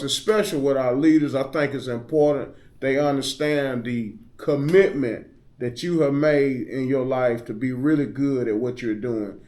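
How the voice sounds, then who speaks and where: A man's voice: medium pitch, darkish tone. A man hosting a podcast, reading a guest's bio aloud and then chatting with her in a folksy, encouraging way. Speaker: podcast host and coach. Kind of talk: podcast interview.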